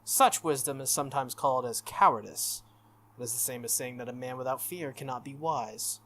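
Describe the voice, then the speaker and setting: A game player voicing a composed, solemn young woman knight from a visual novel with formal, measured, blunt courtesy. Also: mid-range voice, fairly bright tone, voice pushed down, natural voice.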